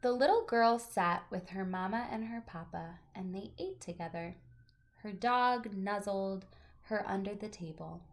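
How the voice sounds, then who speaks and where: A woman teacher reading a picture book aloud to young children, with deliberate phrasing and chatty asides to her listeners. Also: mid-range voice, lively intonation.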